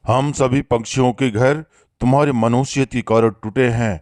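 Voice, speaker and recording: A man's voice: medium pitch, steady tone, normal speed, a little mellow, no room sound, studio quality, close to an everyday voice. A man voicing a threatening bully bird in a cartoon, accusing and domineering in manner. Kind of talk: Hindi moral-story cartoon acting.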